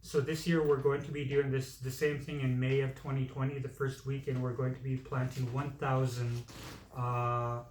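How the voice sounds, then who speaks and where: A man's voice: medium pitch, level tone, moderate pace, a little mellow. A man giving a community speech, plain-spoken with frequent fillers. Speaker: community speaker. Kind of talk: public speech. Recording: echoey room, distant mic, faint noise.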